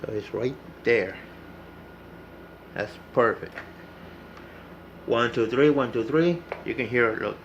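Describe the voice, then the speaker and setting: A man's voice: mid-range voice, slow, long pauses, dull audio, background noise. A man giving a casual, unscripted hands-on tutorial, explaining step by step and repeating himself as he goes. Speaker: hobbyist demonstrating CB radio repair.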